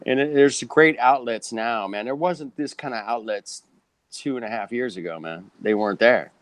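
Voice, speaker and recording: A laid-back man chats on a video call as the host, tossing "man" into almost every line. His voice is smooth and resonant. The sound is dry and close. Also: medium pitch, normal speed, no echo. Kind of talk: casual video call chat.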